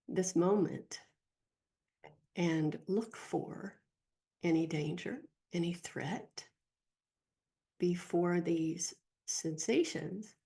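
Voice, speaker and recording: A woman leading a guided meditation as a teacher, speaking gently and softly in a breathy, husky voice with long pauses. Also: lowish voice. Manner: slow, gentle, soft-spoken with long pauses.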